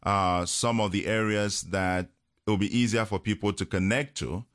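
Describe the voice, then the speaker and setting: A man from Cameroon, a parish priest chatting on a radio call-in show, talking slowly with many fillers and chuckles. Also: fairly low pitch, fairly even intonation, a bit bright, no echo, studio quality. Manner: slow, hesitant, many fillers, chuckles often. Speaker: priest guest. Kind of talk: casual radio chat. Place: radio studio.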